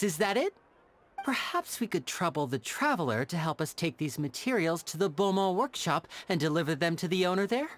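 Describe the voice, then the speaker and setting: Charming, lively young male magician. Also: lowish voice, a little hiss or hum. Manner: polite, theatrical, reassuring. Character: smooth, charming young male stage magician, playful older brother. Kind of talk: anime game voice acting.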